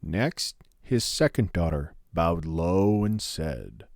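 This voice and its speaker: A man narrating a fairy tale as a storyteller, measured and solemn. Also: low-pitched, big pitch swings, a little mellow, no echo, clean studio sound.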